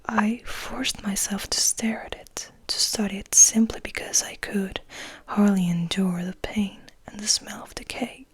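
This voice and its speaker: A woman's voice: mid-range voice, fairly even intonation, measured pace, few pauses, dry recording. Woman whispering as a melancholy, guilt-ridden male vampire. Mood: mournful, guilty. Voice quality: breathy whisper. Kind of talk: whispered ASMR audiobook reading.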